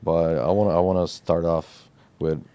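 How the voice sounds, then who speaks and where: A man's voice: deep voice, fairly even intonation, normal speed, mellow, clear, resonant tone, dry recording. A man hosting an interview, reading a polished introduction and then asking casual follow-up questions. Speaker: podcast host.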